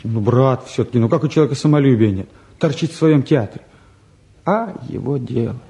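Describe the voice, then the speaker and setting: An actor playing a bored, restless eighteen-year-old younger son, grumbling and flippant in a naturalistic drama. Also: male voice, medium pitch, fairly quick, gravelly, warm tone, natural voice. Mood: mocking. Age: young adult. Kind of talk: naturalistic stage and radio drama acting.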